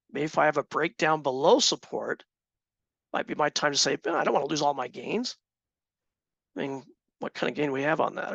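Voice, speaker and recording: Gravelly, slightly hoarse male instructor. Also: fairly high pitch, a bit bright, dry recording, dull audio. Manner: conversational, asks the class questions. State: slightly hoarse, clearing throat. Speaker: trading instructor. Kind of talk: teaching a live class.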